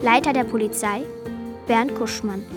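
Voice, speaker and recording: A woman's voice: on the high side, steady tone, moderate pace, music in the background. A woman announcer reading scripted credits in a list.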